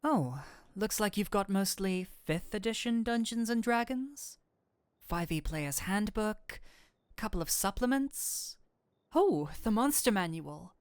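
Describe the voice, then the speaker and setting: Woman performing a flirtatious demon, secretly geeky, unhurried pace, theatrical formal phrasing, easily flustered, intimate roleplay audio, dry close recording. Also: medium pitch, expressive, clear, resonant tone, no echo. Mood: gushing, delighted. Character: flirtatious incubus demon, secretly an excitable tabletop-game nerd. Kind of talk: scripted roleplay audio addressed to the listener.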